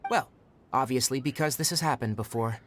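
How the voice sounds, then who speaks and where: An actor playing a composed, knowledgeable young male forest ranger in a video game, speaking in an articulate, measured and formal way. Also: medium pitch, slight background noise, close to an everyday voice. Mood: earnest, calm. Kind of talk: scripted video game voice acting. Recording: background music under the voice. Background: game music.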